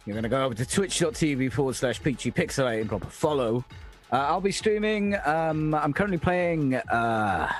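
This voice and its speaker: Brash, swearing man. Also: medium pitch. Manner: brash and profane, teasing. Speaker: player and streamer. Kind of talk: casual stream shout-out.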